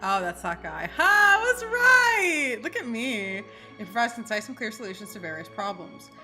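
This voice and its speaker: A woman's voice: a little high, big pitch swings, normal speed, sharp tone. A woman streaming a video game, giving casual, outspoken commentary in informal slang and sometimes reading text aloud. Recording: game music behind the voice.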